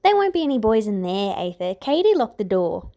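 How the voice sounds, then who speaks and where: A woman's voice: medium pitch, very animated, fairly quick, dark tone, lightly acted. A woman voicing a spirited, defiant thirteen-year-old princess with an indignant, girlish manner in a fantasy audiobook. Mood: amused. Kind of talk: fan fiction audiobook character voice.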